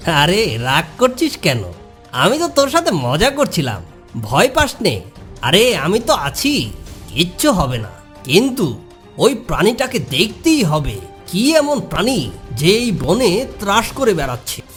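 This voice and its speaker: A woman's voice: lowish voice, huge pitch swings, unhurried, faint music behind. A woman voicing a big, bossy monster in a children's cartoon, scolding and teasing yet protective. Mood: reassuring. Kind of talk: children's cartoon character dialogue.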